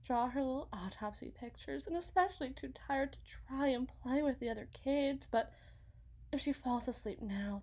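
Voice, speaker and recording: A woman playing an anguished, guilt-ridden mother who confides haltingly and dramatically in an ASMR roleplay monologue. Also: high voice, mellow, heavily acted.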